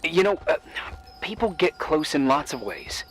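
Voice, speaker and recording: A man's voice: on the high side, very animated, moderate pace, a bit bright, faint music behind. An actor playing an awkward, earnest young man in a video game, speaking hesitantly and helpfully as a voice on a phone. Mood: flustered, shy. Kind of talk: naturalistic video game voice acting.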